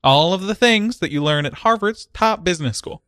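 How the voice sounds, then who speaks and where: A man's voice: sits high, expressive, fairly quick, fairly bright tone, clear, resonant tone, dry recording, studio quality, pitched above the performer's own voice. A man playing a nervous, over-polite male job applicant in an improv comedy sketch. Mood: eager, flustered.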